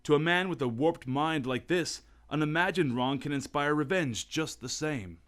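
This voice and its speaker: An actor playing a calm, thoughtful gentleman detective in a radio drama. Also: a little high, close to an everyday voice. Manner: measured and thoughtful.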